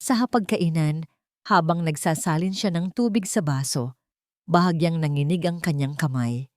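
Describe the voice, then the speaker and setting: A woman's voice: sits low, normal speed, a little mellow, dry recording, clean studio sound. A woman narrating a sentimental scripted story as a storyteller, in a dramatic reading style.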